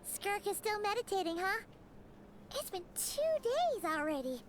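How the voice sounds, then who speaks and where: A woman voicing a tiny, cheerful female companion who chatters excitedly and calls herself by name. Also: extremely high pitch, measured pace, background noise, voice pushed up, full character voice. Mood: curious. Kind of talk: fan voice-over of a game scene.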